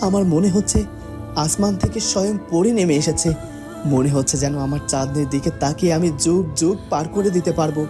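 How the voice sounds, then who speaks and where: A man's voice: on the high side, brisk, over music, lightly acted. A man voicing a lovesick young cartoon prince who muses dreamily to himself with small stammers. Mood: awed, adoring. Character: lovesick young prince longing for his bride. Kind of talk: cartoon voice acting, romantic monologue.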